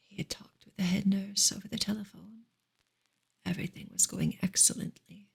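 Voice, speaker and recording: A woman reads narrative prose in a hushed ASMR style. Her whisper is extremely breathy and airy, with a strong crackly, fried grain. The delivery is gentle, measured and unhurried. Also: mid-range voice. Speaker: ASMR reader of a classic novel. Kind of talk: whispered ASMR audiobook reading.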